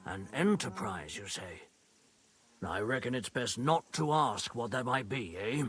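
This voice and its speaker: An actor playing a gruff, wary medieval horse keeper in a video game, with husky, grudging, rustic speech. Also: male voice, mid-range voice, lively intonation, unhurried, fairly bright tone. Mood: guarded, practical. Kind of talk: video game dialogue acting.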